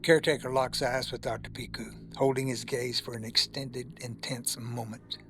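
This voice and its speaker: A man's voice: medium pitch, fairly even intonation, noisy background. Man narrating a spooky tale. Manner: measured, deliberate storytelling. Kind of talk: scripted audio drama narration.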